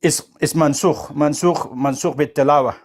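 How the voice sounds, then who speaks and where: A man arguing in a heated debate, talking quickly, tripping over and repeating his words, with a mocking edge. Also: on the high side. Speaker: debate opponent.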